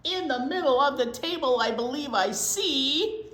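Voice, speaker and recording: Expressive woman reading slowly to children. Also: sits high. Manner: expressive, drawn-out words, exclamations and asides to children. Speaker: storytime host, teacher. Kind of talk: animated read-aloud for young children. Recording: roomy echo, clear background noise.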